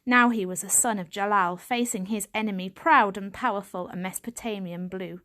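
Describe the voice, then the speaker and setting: A woman's voice: mid-range voice, normal speed, a bit bright. A woman reading a children's audiobook as a dramatic scripted narration. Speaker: audiobook reader.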